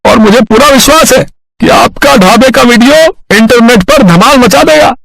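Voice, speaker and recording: A man's voice: extremely high pitch, moderate pace, creaky, a loud crowd, pitched above the performer's own voice. A man voicing an effusive, friendly, well-off male customer in cartoon story dialogue. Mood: enthusiastic, generous.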